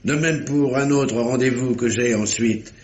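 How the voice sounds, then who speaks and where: A man's voice: medium pitch, unhurried, a little mellow, roomy sound, slight background noise, lightly acted. An actor playing a fussy, authoritative middle-aged examining magistrate in a theatrical radio mystery style, often repeating his words. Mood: brisk, courteous. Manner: theatrical, hesitant repetitions, commanding. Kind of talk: 1950s French radio mystery acting.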